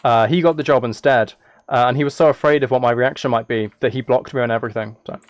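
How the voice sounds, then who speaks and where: A man chatting casually on a gaming livestream, rambling haltingly with playful, mock-serious dry humour. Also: mid-range voice, fairly even intonation, no echo. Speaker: live streamer.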